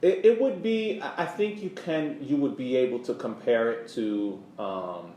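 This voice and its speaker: Male podcast co-host, halting explanatory delivery, casual sports talk, roomy recording with some background noise. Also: medium pitch, warm tone.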